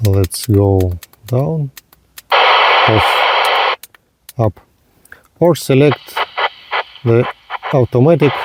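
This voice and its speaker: A man narrating a hands-on product demonstration in halting, simple step-by-step phrases. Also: medium pitch, lively intonation, very noisy. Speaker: product reviewer.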